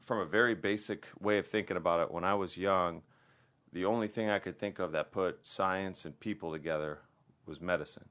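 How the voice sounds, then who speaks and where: A man from the American Midwest, a plastic surgeon speaking as a podcast guest in relaxed casual conversation, full of restarts and fillers. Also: deep voice, a little fast. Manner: relaxed, conversational, many restarts and "you know".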